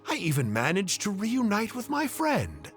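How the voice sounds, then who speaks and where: A man's voice: high voice, huge pitch swings, normal speed, faint music behind. A man voicing a boastful, theatrical uncle who tells dramatic stories in a video game. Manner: hammy, dramatic storytelling. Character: boastful, theatrical adult male storyteller uncle.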